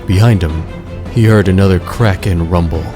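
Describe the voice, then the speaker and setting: Deep-voiced man narrating over music. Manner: measured, suspenseful. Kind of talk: scripted dramatic story narration. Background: loud music.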